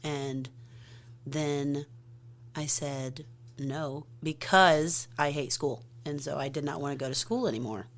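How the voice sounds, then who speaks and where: A woman in her thirties with a notably low voice talks easily in a podcast conversation. She is chatty and self-deprecating, often laughing at herself. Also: fairly even intonation, slow. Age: 30s. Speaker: softball coach.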